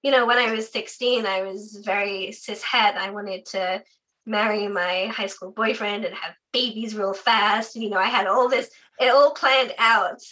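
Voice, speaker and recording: Interview guest, young adult, from the UK, chatty with filler words, bright clear tone, sore voice. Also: female voice, medium pitch, fairly even intonation, unhurried, poor audio. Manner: chatty, frequent "like" fillers, laughs often. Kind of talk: casual interview conversation.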